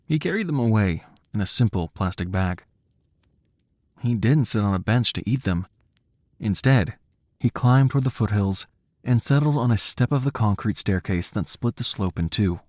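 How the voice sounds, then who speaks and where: Low-voiced man narrating a solemn story. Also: expressive, no echo, studio quality. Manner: measured, solemn, hushed. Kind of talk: cinematic, dramatic scripted story narration.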